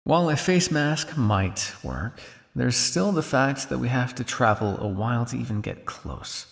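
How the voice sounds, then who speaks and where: A man's voice: medium pitch, animated, a little slow, gravelly, in a large hall. A man playing a cynical, world-weary vampire scientist on the run in an intimate, condescending ASMR roleplay monologue. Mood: dry, sardonic. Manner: sardonic, condescending, with exasperated sighs and dry asides.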